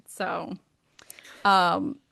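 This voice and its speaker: A woman's voice: on the low side, little pitch movement, extremely slow, vocal fry, pushed and bright, no echo, studio quality. A woman in her thirties with an American accent, talking about her books as an interview guest, enthusiastic and chatty with frequent fillers. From United States. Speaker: author and guest. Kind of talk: casual interview conversation.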